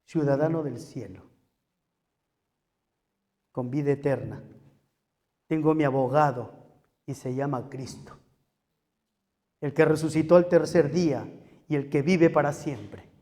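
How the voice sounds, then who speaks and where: High-pitched Peruvian pastor preaching in a reverberant hall. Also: male voice, measured pace, pauses often, warm tone. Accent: Peruvian Spanish. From Peru. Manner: emphatic repetition and rhetorical questions to the congregation. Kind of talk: sermon. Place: church hall.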